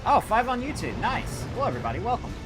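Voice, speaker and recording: A young man with a high, youthful voice that moves up and down a lot as he talks. He is livestreaming a game, rambling informally and swearing freely. Music plays clearly behind him. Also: sing-song, very fast, poor audio. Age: young adult. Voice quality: youthful-sounding voice. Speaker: livestreamer playing a video game. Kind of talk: casual gaming commentary and chat.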